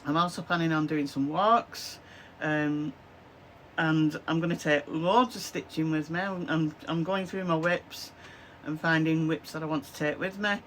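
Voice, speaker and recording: Hoarse Lancashire woman chatting to camera. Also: low voice, fairly even intonation, normal speed, a bit bright, slight background noise. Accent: Lancashire English. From Lancashire, England. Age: 50s. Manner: rambling, with many "um, so yeah" fillers. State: hoarse, chesty cough, losing her voice. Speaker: craft vlogger. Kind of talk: casual chatty to-camera vlog. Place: small home craft room indoors. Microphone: camera at desk, close framing.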